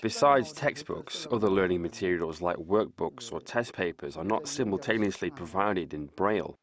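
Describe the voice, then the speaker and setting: A man giving a measured explanation as an interview voice in a news report. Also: sits low, expressive, a bit bright.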